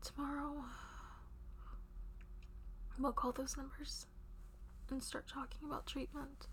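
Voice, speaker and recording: A woman's voice: high-pitched, little pitch movement, slow pace, fairly bright tone, slight background noise, exaggerated voice. A woman playing a devoted, tender lover in an intimate audio roleplay, speaking breathily and reassuringly and often dropping toward a whisper. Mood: soothing, hushed. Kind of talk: intimate one-sided romantic audio roleplay.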